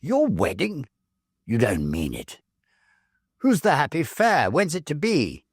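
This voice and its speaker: A young gentleman of the Victorian club acted by a male story reader, bantering and full of mock drama. His pitch leaps about and sits above a calm reading tone. It is a dry storybook recording. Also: slow, breathy edge, dry recording, heavily acted. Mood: incredulous, teasing.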